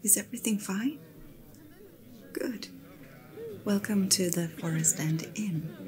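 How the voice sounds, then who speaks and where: A woman plays an attentive female innkeeper in a fantasy tavern ASMR roleplay. Her voice is hushed and breathy, often a whisper, at a middle pitch. She takes her time with every phrase as she looks after her guest. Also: a crowd in the background, lightly acted. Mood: gently hospitable. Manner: hushed, unhurried, attentive hostess talk.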